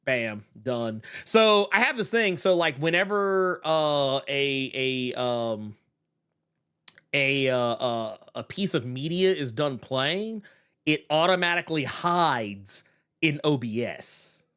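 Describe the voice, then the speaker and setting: A man hosting a podcast, talking casually and animatedly, swearing and breaking into quick asides. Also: medium pitch, lively intonation, fairly bright tone, clean tone. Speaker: podcast host. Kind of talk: casual chat reacting to a video.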